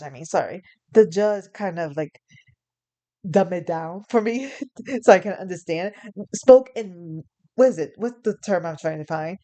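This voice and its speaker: A woman's voice: mid-range voice, dark tone. Young woman rambling through her story, lots of ums and little laughs, easygoing. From New York, USA. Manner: rambling, chuckling, many fillers and self-corrections. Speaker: vlogger. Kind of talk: casual personal storytelling.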